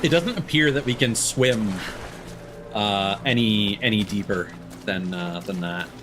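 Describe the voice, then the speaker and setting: A man's voice: lowish voice, measured pace, music in the background. A man giving casual livestream gameplay commentary, thinking aloud in a rambling, jokey way. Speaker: video game streamer. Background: game ambience.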